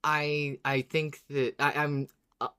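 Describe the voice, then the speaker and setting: A podcast co-host in casual, reflective chat, agreeable and hesitant, feeling out each thought in halting phrases. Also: medium pitch, flat delivery, pushed and bright, clear, resonant tone. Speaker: podcast co-host discussing a fiction series.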